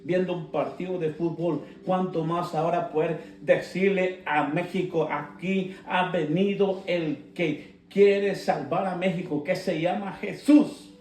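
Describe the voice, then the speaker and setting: A man preaching a sermon. He speaks with slow emphasis and breaks into fervent shouted calls. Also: sits high, fairly even intonation. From Guatemala. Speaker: pastor. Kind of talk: live-streamed sermon. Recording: roomy sound, mic at a distance, soft guitar.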